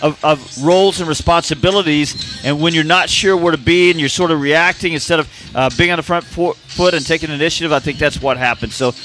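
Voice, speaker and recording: A man giving casual radio soccer analysis in a folksy, chatty way, with many "uh" pauses. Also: on the high side, a bit bright, soft background music. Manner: folksy and chatty, frequent uh fillers.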